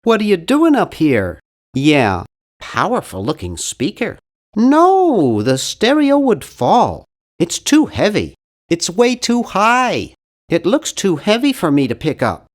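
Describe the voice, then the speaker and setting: A man's voice: high-pitched, heavily acted. A man playing an earnest, awkward, nerdy young cartoon hero in an adventure game, with a nasal, geeky, carefully worded delivery. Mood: matter-of-fact, hesitant. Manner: geeky, over-articulate, earnest. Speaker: video game voice actor. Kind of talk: animated adventure game character lines.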